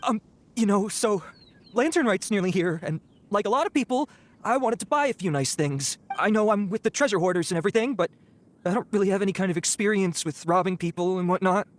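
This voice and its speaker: A woman's voice: medium pitch, expressive, brisk, close to an everyday voice. A woman playing a cowardly young bandit in a video game, nervous, stammering and pleading. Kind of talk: video game character acting.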